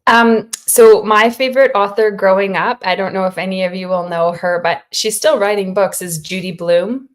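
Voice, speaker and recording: A woman's voice: mid-range voice, fairly even intonation, moderate pace. A middle-aged woman, an author, giving thoughtful, encouraging answers to questions in a conversational way. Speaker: children's author, interview guest.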